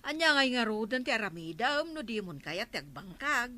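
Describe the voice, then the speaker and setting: A woman's voice: a little high, sing-song, brisk, bright, forceful tone, slight background noise. A woman with an Ilocano accent playing a frank village neighbour giving advice in a radio comedy drama. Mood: advising.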